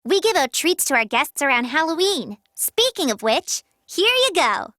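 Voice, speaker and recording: A woman voicing a bubbly, excitable young girl in a fantasy game, in an animated style. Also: high-pitched, normal speed, no echo, clean studio sound, exaggerated voice. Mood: cheerful. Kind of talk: animated game character voice acting.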